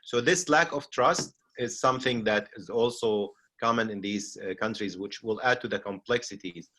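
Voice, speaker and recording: A middle-aged man with a Syrian Arabic accent, moderating a seminar as a physician and speaking off the cuff with frequent pauses. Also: lowish voice, a bit bright. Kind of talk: unscripted seminar discussion.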